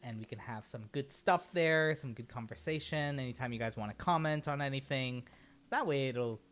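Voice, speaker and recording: Casual streamer chatting to viewers, mid pitch voice, rambly and giggly, swears a bit. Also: moderate pace, fairly bright tone. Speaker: livestream host. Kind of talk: casual livestream chat.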